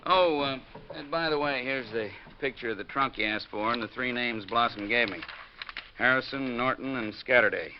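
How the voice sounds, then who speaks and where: A man plays a gruff but chummy American police detective in a fifties radio play. He talks in blunt, teasing, colloquial lines. The sound is dull and slightly noisy, like an old broadcast. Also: medium pitch, normal speed, close to an everyday voice. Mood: matter-of-fact. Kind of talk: 1950s American radio drama acting. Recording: muffled old radio recording with noise.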